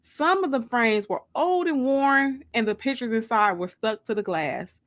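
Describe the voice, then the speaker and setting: A woman narrates fiction in a dramatic reading style, as an author reading her own book. Her voice sits a little high. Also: animated, measured pace, fairly bright tone.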